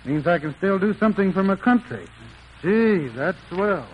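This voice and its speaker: A man's voice: high voice, animated, moderate pace. A man singing the part of a cheerful young soldier in a 1940s radio musical, in folk ballad style. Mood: jaunty. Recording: lo-fi 1940s radio broadcast with loud orchestra.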